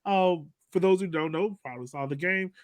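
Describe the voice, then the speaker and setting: A man's voice: high-pitched, brisk, no echo. A man hosting a casual post-game soccer discussion, summing up and putting questions to the others. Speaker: podcast host steering discussion.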